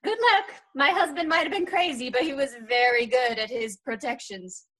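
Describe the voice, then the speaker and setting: A woman's voice: high-pitched, moderate pace, a bit bright. A woman voicing the stern, blunt ghost of an old great-great-grandmother in an improvised audio comedy. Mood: scornful. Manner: scolding, blunt.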